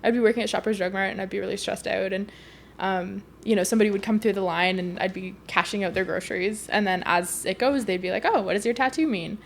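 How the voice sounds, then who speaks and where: Chatty young woman with vocal fry. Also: medium pitch, fairly even intonation, fast talker, slight background noise. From Canada. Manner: chatty, rambling, laughs often. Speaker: podcast guest. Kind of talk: casual conversation.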